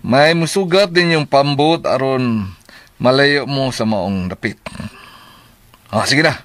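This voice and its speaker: An actor in a radio drama playing a brisk, scheming criminal boss with an impatient manner. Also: male voice, medium pitch, fairly bright tone, dry recording.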